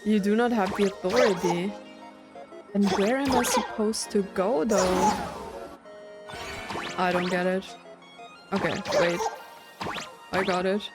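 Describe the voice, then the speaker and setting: A woman's voice: mid-range voice, steady tone, takes it slowly, long pauses, over loud music. A woman giving casual gameplay commentary, thinking aloud and reacting chattily as she plays. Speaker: game streamer.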